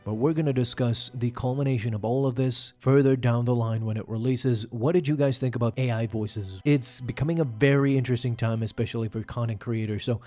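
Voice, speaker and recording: Male narrator, mellow dark tone, mid pitch, dramatic scripted recap, faint music behind. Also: steady tone, a little fast, hardly any pauses. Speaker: YouTube host and narrator.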